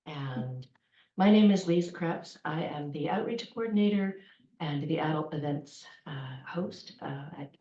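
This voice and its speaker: A woman's voice: low voice, warm tone. A woman welcoming people to a public event as its host, chatting informally through announcements with joking asides. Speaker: library event host and outreach coordinator. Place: indoors in a library event room. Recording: roomy, slightly muffled live room recording.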